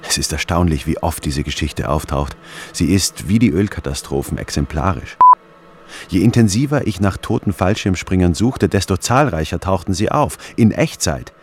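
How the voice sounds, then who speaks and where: A man's voice: low-pitched, expressive, moderate pace, a little hiss or hum. An actor playing a brooding, analytical man narrating his obsessive research in a radio drama. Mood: obsessive, matter-of-fact. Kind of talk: scripted first-person radio drama narration.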